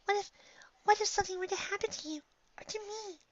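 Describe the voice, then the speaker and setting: Shy, gentle cartoon pony girl: high-pitched, hesitant, near-whispering, slightly muffled sound. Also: fast. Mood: tearful, anxious. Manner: hesitant, stammering, soft-spoken. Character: shy, gentle, nervous young pegasus mare.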